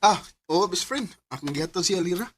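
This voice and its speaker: An easygoing young man, affectionate and oblivious, talks in a husky, animated voice. His manner is breezy and casual. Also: high voice, fast talker, heavily acted. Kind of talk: radio drama acting.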